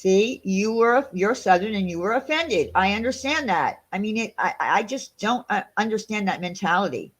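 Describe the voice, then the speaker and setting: A woman's voice: medium pitch, expressive, moderate pace, few pauses. Chatty Long Island woman on a livestream. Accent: Long Island New York. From Long Island, New York. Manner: chatty, indignant, rambling with many fillers. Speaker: livestream host reading chat. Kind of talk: casual livestream chat.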